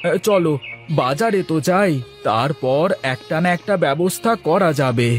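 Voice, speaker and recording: A man's voice: fairly high pitch, expressive, moderate pace, faint music behind, close to an everyday voice. A man voicing a cunning, pushy village trickster in a cartoon moral story. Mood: restless.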